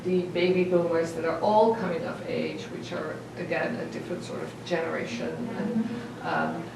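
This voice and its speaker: Gravelly woman, far off in an echoey room. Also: low voice, lively intonation, background noise. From the Netherlands. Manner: halting, with many fillers and soft laughs. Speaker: new council member. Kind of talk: self-introduction at a meeting. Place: town meeting room.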